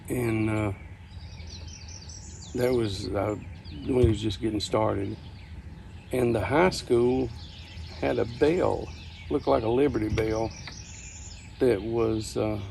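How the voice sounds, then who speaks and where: An older man reminiscing casually, telling stories in a folksy, unhurried, halting way. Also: mid-range voice, vocal fry, a little mellow, slight background noise. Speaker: storyteller. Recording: outdoor ambience with birdsong behind. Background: birdsong, outdoor ambience.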